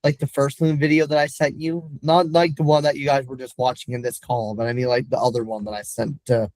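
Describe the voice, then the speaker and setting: A man's voice: medium pitch, level tone, a little fast, darkish tone, dry recording, poor audio. A man on a casual group video call, hesitantly asking a question with many fillers. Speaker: community member asking a question.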